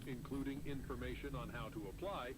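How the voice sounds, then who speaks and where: Man as 1960s announcer under loud noise. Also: medium pitch, steady tone, lightly acted. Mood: formal. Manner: measured, formal. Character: formal 1960s news announcer. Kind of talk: old news bulletin reading. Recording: very noisy vintage broadcast sound.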